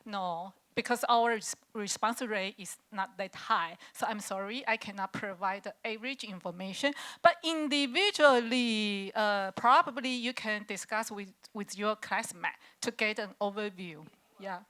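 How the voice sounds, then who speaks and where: A woman director answers a question from the audience at a mid pitch. She pauses often with "uh" and restarts her sentences as she searches for words. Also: a bit bright. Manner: hesitant, many "uh" fillers and restarts. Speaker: program director.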